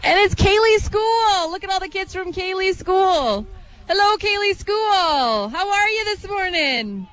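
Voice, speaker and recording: A woman's voice: very high-pitched, animated, unhurried, a bit bright, lo-fi sound. A woman with a Canadian accent, giving chatty, exclaiming live parade commentary as a radio co-host. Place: outdoors at a street parade.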